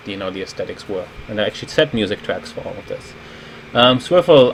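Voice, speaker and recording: A man's voice: fairly low pitch, a little hiss or hum, low-quality recording. A man in his thirties with a German accent, a native German speaker, giving casual livestream game commentary as a level designer and host. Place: indoors at a computer, streaming.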